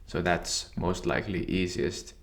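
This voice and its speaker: A man whose first language is Finnish, calmly and methodically walking through a software tutorial. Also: deep voice, measured pace, creaky. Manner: calm, methodical explaining. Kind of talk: screen-share software tutorial.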